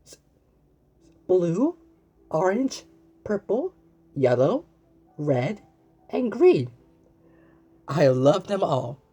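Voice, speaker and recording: A woman's voice: fairly low pitch, big pitch swings, warm tone, slightly rough. A woman reading a children's storybook aloud, exclaiming, asking the listener questions and often stumbling over and repeating words. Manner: exclamatory, asks the listener questions and pauses as if answered. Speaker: storybook reader. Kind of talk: animated children's read-aloud with asides to the viewer.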